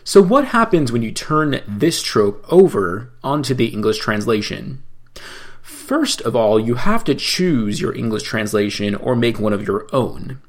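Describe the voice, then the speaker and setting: A man teaching how to chant scripture, explaining slowly and breaking into chanted phrases. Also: mid-range voice, very animated. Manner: slow, measured explanation between chanted phrases. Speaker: cantor teaching Torah chanting.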